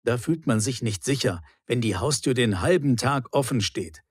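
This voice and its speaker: Particular male neighbour, acted by a man, reproachful, clearly articulated, medium pitch, dry recording, lesson dialogue. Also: lively intonation, normal speed, no room sound. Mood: firm, reproachful.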